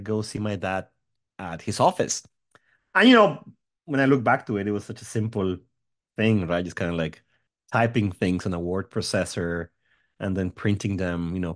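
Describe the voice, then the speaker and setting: Friendly man telling his story. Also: lowish voice. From Mexico. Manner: friendly, rambling, many fillers and restarts. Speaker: guest, tech company founder. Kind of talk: interview chat.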